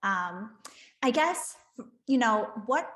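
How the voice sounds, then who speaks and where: A woman's voice: fairly high pitch, animated, slow, fairly bright tone. A woman hosting a live online interview in a conversational way. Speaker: host and interviewer. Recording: roomy, echoing room sound.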